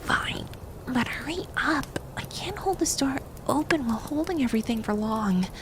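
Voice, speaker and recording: Actress as clingy, fretful, playful twin maid, ASMR roleplay. Highish pitch with big swings, soft and whisper-prone, noisy ambient backdrop. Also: female voice, moderate pace. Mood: flustered. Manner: expressive, breathy-soft, often dropping into whispers.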